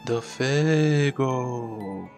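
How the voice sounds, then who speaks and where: An eerie spirit of the wind , as a male storyteller puts it on, calls out from a horror tale. Each word is drawn out extremely slowly, like a howl. Music plays behind it. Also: mid-range voice, darkish tone, voice pushed up. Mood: eerie, calling. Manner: stretches words into slow drawn-out calls.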